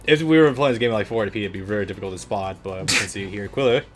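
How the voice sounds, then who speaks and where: A man's voice: on the low side, animated, very fast. A man co-hosting a let's play, bantering casually and reacting in an informal way. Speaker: gaming video co-host. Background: video game music.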